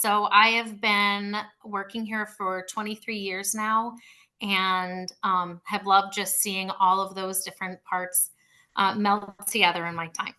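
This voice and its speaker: Female teacher in middle age, mid-pitched and strikingly bright, doing a short self-introduction. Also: flat delivery, a little slow. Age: middle-aged. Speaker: science teacher and athletic trainer.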